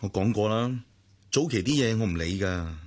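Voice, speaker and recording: A man's voice: fairly low pitch, moderate pace, a little mellow, close to an everyday voice. A man with a Hong Kong Cantonese accent acting a protective but detached elder brother in a radio drama, admonishing and matter-of-fact.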